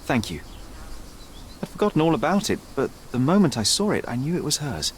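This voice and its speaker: An actor playing a cool, self-assured young detective in a video game, speaking in a clipped, formal, composed way. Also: male voice, medium pitch, very animated, brisk, slight background noise, natural voice. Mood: polite, guarded. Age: young adult. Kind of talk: video game cutscene acting.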